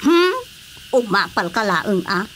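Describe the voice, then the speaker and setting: A woman's voice: medium pitch, sing-song, takes it slowly, a bit bright. A woman playing a feisty, stubborn wife in an Ilocano-accented radio drama comedy, exclamatory and argumentative. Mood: indignant. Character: feisty, stubborn childless wife devoted to her pet. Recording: clearly noisy radio drama recording.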